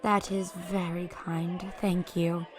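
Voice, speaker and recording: A woman playing a polite, naive, youthful-seeming fey traveler with a soft, hesitant manner in a fantasy audio drama. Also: fairly low pitch, little pitch movement, slow, some chatter behind. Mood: gentle, curious.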